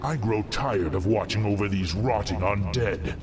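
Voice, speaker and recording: An actor voicing an impatient, defiant male demon lord in a video game cutscene, disdainful and forceful. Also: on the low side, moderate pace, noisy background, close to an everyday voice. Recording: music behind the voice.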